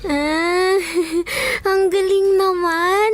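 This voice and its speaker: Small girl, very high pitch, drawn-out slow pace, sweet, polite, curious, audio drama. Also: fairly even intonation, heavily acted. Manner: childlike, slow, polite speech.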